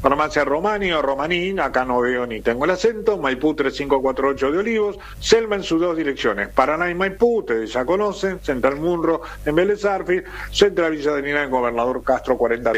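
A man's voice: fairly high pitch, animated, a little fast, hardly any pauses. A man in his seventies with an Argentine Spanish accent, hosting local radio, chatty and jokey, reading announcements and musing. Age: 70s. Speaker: radio host.

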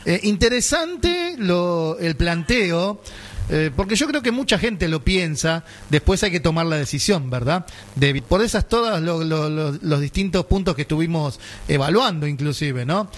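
A man's voice: fairly high pitch, lively intonation, normal speed. A man with an Argentine accent hosting a radio show, chatting casually with many fillers and tag questions. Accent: Argentine Spanish. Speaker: radio host.

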